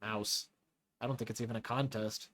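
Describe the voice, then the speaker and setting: A man's voice: fairly low pitch, steady tone, moderate pace, fairly bright tone, no room sound, natural voice. A man voicing a wary young male prison chief with a dry, plain way of talking.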